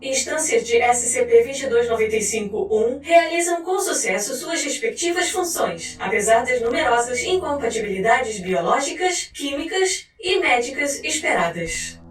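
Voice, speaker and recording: A high-pitched female narrator with a Brazilian accent delivers a formal, clinical document reading. Her voice carries a clear room echo and sits a little way from the mic, over music. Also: animated, moderate pace. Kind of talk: scripted narration of a fictional scientific file. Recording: roomy echo, distant mic, faint music.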